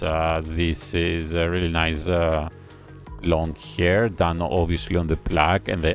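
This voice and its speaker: Male reviewer, very low gravelly voice, analytical chat, soft music behind. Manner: analytical, admiring, thinks aloud with pauses. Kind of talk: casual reaction commentary. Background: faint music video playing.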